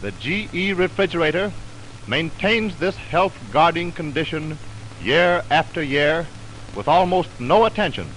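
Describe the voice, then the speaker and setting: An American man announcing a 1920s radio programme from a script, formal, measured and declamatory, on a scratchy old recording. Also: fairly high pitch, a bit bright. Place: radio studio.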